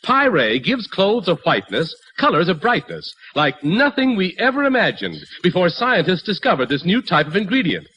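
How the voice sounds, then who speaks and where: High, animated, chipper soap-selling uncle. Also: male voice, moderate pace, few pauses, slightly rough, exaggerated voice. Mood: upbeat, persuasive. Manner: emphatic, salesmanlike, polished. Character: chipper, friendly neighborhood uncle pitching laundry soap. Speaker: announcer. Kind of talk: 1940s radio sponsor pitch. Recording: slightly noisy 1940s radio recording.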